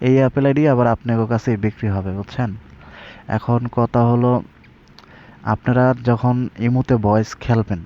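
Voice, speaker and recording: A man's voice: on the low side, mellow. A man with a Bangladeshi accent giving a casual, colloquial tutorial and sales talk, often checking in with his listeners. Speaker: online seller and video presenter. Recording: slightly dull, lo-fi audio.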